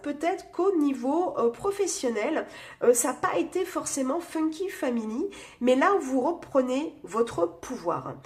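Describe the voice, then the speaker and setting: A high-pitched woman reading tarot cards, her voice nasal and stuffed up from a cold. She chats casually with plenty of fillers and speaks straight to her viewers. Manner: chatty, many fillers, addresses viewers directly. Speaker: tarot reader.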